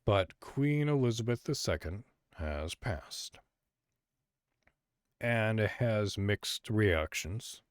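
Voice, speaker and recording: A man from Illinois hosts his own podcast alone, thinking aloud as he goes. His voice sits very low, and he drifts between thoughts with plenty of fillers and false starts. The sound is dry and close, with no room around it. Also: expressive, takes it slowly, long pauses, clean tone, no echo. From Illinois, USA. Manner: rambling, hesitant, many fillers and restarts. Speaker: podcast host. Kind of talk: solo casual podcast monologue.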